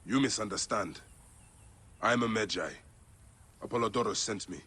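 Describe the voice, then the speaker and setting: A man's voice: on the low side, moderate pace, sharp tone, breathy edge. A man playing a stern, purposeful Egyptian warrior in a dramatic video game. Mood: firm, probing.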